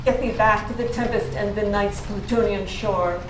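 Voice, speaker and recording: A woman's voice: medium pitch, fairly even intonation, moderate pace, noisy background. A woman reading classic verse aloud in a recitation. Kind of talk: poetry recitation. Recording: echoey room, music behind.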